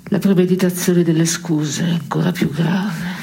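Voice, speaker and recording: An actress playing a dry, disillusioned woman in a radio theatre piece, giving terse, deadpan replies. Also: on the low side, normal speed, vocal fry, slightly rough, full character voice. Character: dry, disillusioned woman answering her melancholy companion. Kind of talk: avant-garde radio theatre dialogue.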